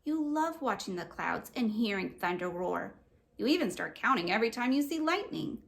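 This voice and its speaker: A woman's voice: medium pitch, sing-song, moderate pace, gravelly. A woman voicing a kind, patient mother in a children's book read-aloud, comforting and encouraging. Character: kind, encouraging mother of two young girls.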